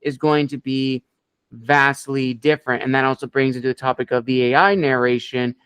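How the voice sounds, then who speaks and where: A man hosting an interview with a narrator, affirming and measured as he asks questions. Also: mid-range voice, steady tone, fairly bright tone, smooth, dry recording. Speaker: podcast host.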